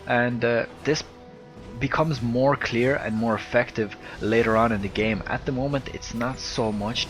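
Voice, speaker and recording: A man with an Irish accent and a soft, slightly lispy voice, giving live video game commentary into a close headset mic. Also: mid-range voice. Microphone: headset close to the mouth.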